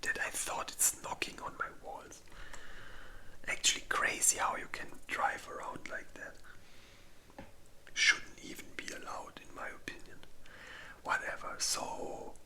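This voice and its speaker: An ASMR host speaking entirely in a breathy whisper, chatting casually and informally with rambling, hesitant pauses. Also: sharp tone. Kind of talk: whispered ASMR movie ranking, casual chat.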